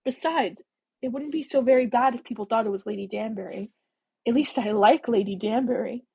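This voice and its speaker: Woman acting a fiery, high-pitched Regency heroine. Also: fairly quick, vocal fry, breathy edge, full character voice. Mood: frustrated, earnest. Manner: forceful, emotional, indignant. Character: proud, defiant, angry young woman in a Regency romance.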